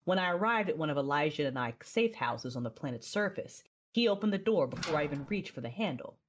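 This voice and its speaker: A low-pitched female voice carries this sci-fi audio drama. She is a resolute, battle-hardened warrior recounting her own story. The delivery is measured and dramatic, like a memoir told aloud. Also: expressive. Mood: grave, determined. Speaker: narrator and lead character. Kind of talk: first-person dramatic narration in an audio drama.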